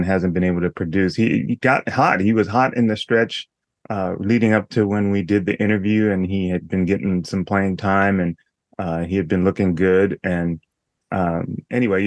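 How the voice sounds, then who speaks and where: A man hosting a podcast in casual chat, his voice raspy, laughing often and joking easily. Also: low-pitched, no echo. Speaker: podcast host.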